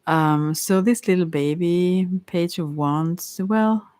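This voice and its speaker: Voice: medium pitch, takes it slowly, a little mellow, clear, resonant tone, dry recording. Rambling, hesitant tarot reader, dry close sound. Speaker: tarot reader on a live stream. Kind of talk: casual improvised tarot reading chat.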